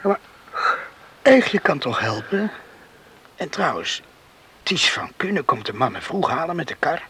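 A faintly hissy old radio recording features an eager young farmer. His voice is bright and a bit high, moving in lively swings of pitch as he earnestly urges. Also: a little slow, slightly rough, exaggerated voice. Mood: eager, coaxing. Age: young adult. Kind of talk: radio drama acting.

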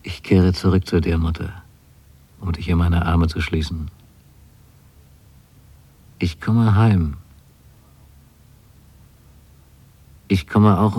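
A man acting a wayward young son writing to his mother in a radio drama, with a husky, gravelly voice and measured delivery. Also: deep voice, mellow. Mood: yearning, insistent.

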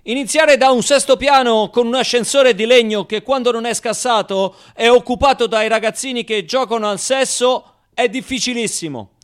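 A man performing a theatrical first-person monologue as an actor, in an expressive, colloquial storytelling delivery. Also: very high-pitched, moderate pace, hardly any pauses, clean tone.

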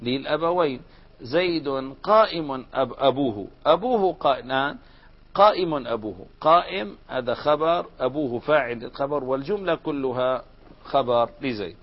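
A man's voice: mid-range voice, expressive, moderate pace. A man teaching an Arabic grammar lesson, explaining step by step and putting questions to the class. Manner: explains step by step, asks students questions and answers them. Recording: dull lo-fi recording.

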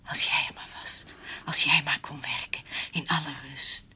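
An actress playing a devoted, possessive housekeeper secretly in love in a radio drama, whispering breathily with intimate fervour. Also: female voice, low-pitched, flat delivery, pushed and bright, husky, heavily acted. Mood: adoring, possessive. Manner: slow, intimate, fervent.

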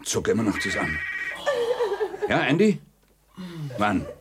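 A very low-voiced police detective, weary and shaken. He speaks in short, blunt phrases, as in a crime radio drama. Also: big pitch swings, unhurried, very noisy. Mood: tense, brisk. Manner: terse, blunt. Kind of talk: German radio drama acting.

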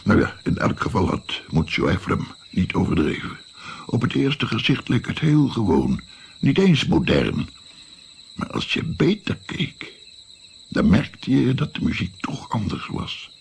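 An actor playing an older musician in a Dutch radio drama, looking back in a reflective, self-mocking and musing way, with a gravelly voice. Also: male voice, on the low side, measured pace, slight background noise, exaggerated voice. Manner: musing, hesitant, expressive.

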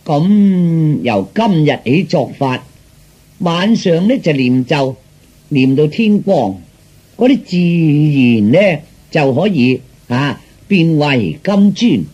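A man with a Cantonese accent telling a folk story in a colloquial, animated way, acting out the dialogue with drawn-out particles. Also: on the high side, breathy edge, dull audio.

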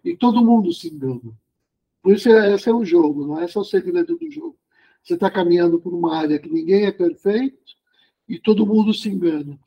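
A man with a notably high, husky voice leads a study group conversation. He muses aloud, explains step by step and keeps checking in with his listeners. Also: brisk, lo-fi sound. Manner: musing, explanatory, frequent tag questions. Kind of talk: reflective group discussion.

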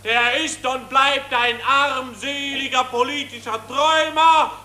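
Bombastic rally demagogue, old radio drama, super high ringing voice, echoey hall w faint crowd. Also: male voice, steady tone, sharp tone, full character voice. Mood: fervent, scornful. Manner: slow, ringing, bombastic declamation. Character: bombastic German power-worshipping nationalist demagogue at a rally. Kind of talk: 1940s radio drama political speech. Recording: roomy 1940s radio recording, faint crowd.

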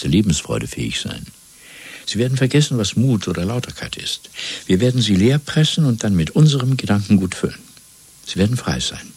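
A cold male interrogator in a radio drama, deep and very husky with a gravelly edge. He speaks deliberately and patronisingly, swinging widely from soft whispers to hard commands with fanatical certainty. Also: no room sound, heavily acted. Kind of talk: 1970s German radio play acting.

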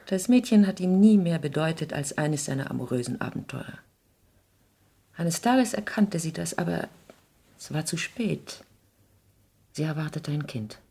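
An actress playing a grave, wounded, protective middle-aged mother, halting and solemn, in a 1960s German radio drama. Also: sits low, expressive, mellow, breathy edge, full character voice. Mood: sorrowful.

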